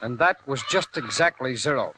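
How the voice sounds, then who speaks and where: An actor playing a bitter, defiant war veteran turned farmer in 1940s radio drama style, blunt, combative and folksy. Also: male voice, mid-range voice, lively intonation, normal speed, close to an everyday voice. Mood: curt, hostile. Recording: noisy old-time radio recording.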